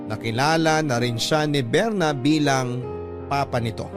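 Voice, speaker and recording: A man's voice: fairly high pitch, natural voice. A man narrating a sentimental radio love story as a devoted, protective younger sibling, earnest and reflective. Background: music under the voice.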